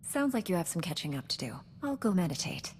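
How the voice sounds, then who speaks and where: An actress playing a composed, earnest young female knight in a science fiction video game, speaking in a measured way. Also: lowish voice, big pitch swings, a little mellow, big-room sound, slight background noise. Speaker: player character. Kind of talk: video game dialogue acting.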